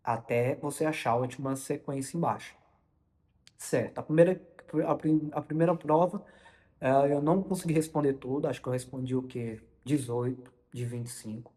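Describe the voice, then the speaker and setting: A young man with a Brazilian Portuguese accent tells a story informally, in a halting way, often repeating and correcting his words. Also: medium pitch, warm tone. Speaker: vlogger recounting personal experience. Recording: long reverberant room echo.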